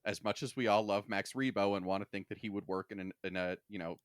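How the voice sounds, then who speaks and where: A man with a fairly low voice hosts a casual podcast discussion. He talks in a wordy, looping conversational way, and the sound is very dry with no room on it. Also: fairly even intonation, fast talker, dry recording. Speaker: podcast host. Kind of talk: casual discussion of a sci-fi franchise.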